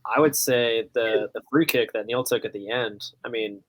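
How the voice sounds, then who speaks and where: A man's voice: medium pitch, normal speed, rough recording. A man co-hosting a podcast, talking casually about sports. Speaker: podcast co-host.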